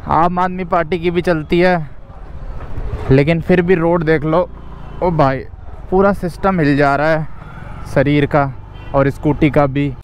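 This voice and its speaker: A man's voice: on the high side, a little slow, pauses often. Chatty young man vlogging over traffic. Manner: chatty, relaxed, addresses viewers directly. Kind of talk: casual vlog narration while riding and driving. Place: on a scooter in city traffic, later inside a small car. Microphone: action camera mic. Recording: traffic and engine noise behind. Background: traffic noise.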